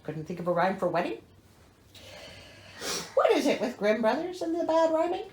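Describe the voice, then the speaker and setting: A woman's voice: high-pitched, big pitch swings, moderate pace, darkish tone, roomy sound, a little hiss or hum. A woman reading a storybook aloud as host, theatrical and wry, breaking in with exasperated, sarcastic asides.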